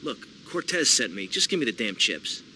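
A man's voice: medium pitch, sing-song, moderate pace, bright, forceful tone, slight background noise, poor audio. An actor playing a cynical, impatient American gangster in his thirties, curt and sardonic, in a video game cutscene.

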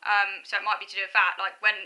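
A young-sounding woman of thirty with a southern English accent and a very bright, forward tone. She talks informally to camera from a room at home, hesitating and circling back as she reflects on herself. Her pitch sits in an ordinary female range. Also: level tone, fast talker, rough recording. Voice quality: youthful-sounding. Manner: hesitant, self-reflective, wandering. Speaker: vlogger. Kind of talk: casual personal talk to camera. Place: small furnished room at home, indoors.

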